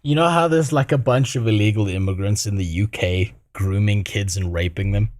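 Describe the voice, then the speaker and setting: A man's voice: on the low side, moderate pace, darkish tone, dry recording, studio quality. A man co-hosting a stream, reacting bluntly and crudely, rambling with lots of fillers. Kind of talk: casual reaction chat.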